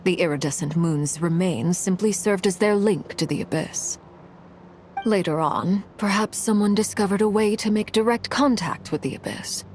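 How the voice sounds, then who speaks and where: An actress playing a cold, composed noble commander who speaks in a measured, formal way in a video game. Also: female voice, mid-range voice, a little mellow, a little hiss or hum, lightly acted.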